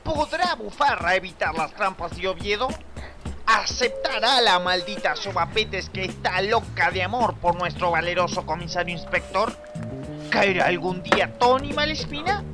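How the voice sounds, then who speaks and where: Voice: mid-range voice, animated, normal speed, natural voice. A performer playing a melodramatic announcer, narrating a radio serial with dramatic, suspenseful delivery. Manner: dramatic rhetorical questioning. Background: music.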